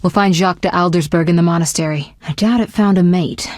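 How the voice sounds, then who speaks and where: A composed fantasy game sorceress, played by a woman, speaks in a fairly low voice with very little room sound. She is articulate and measured, caring yet resolute, with a sharp wit and an earnest way of putting things. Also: fairly even intonation, dry recording, clean studio sound, natural voice. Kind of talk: fantasy video game voice acting.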